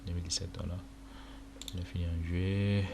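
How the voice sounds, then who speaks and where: A young man from Chad whose first language is Arabic, casually talking through a screen-recorded walkthrough with many pauses and fillers. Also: low-pitched, flat delivery, vocal fry. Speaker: tutorial presenter. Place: indoor room at a computer. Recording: noisy home recording with keyboard clicks.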